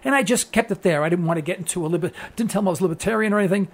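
Gravelly New York talk radio host. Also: male voice, high-pitched, very fast. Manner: earnest, preachy, repeats phrases for emphasis. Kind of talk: political talk radio monologue.

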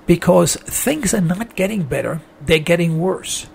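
A man hosting a call-in radio talk show, speaking in a rambling, folksy monologue while hoarse from a throat infection. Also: high-pitched, lively intonation, unhurried, darkish tone, a little hiss or hum. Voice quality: strained, gravelly voice.

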